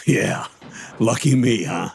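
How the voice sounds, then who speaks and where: Husky older military commander. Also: male voice, mid-range voice, a little mellow, exaggerated voice. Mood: sheepish. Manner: measured, explanatory. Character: older male military commander, composed, paternal, somewhat defensive. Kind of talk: video game cutscene voice acting.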